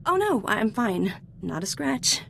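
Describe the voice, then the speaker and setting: A woman's voice: medium pitch, very animated, slow, gravelly, a bit bright, slight background noise, exaggerated voice. An actress playing a modest, eager young female Jedi apprentice in a video game, earnest and a little hesitant in a conversational way. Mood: sheepish, upbeat. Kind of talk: scripted video game voice acting.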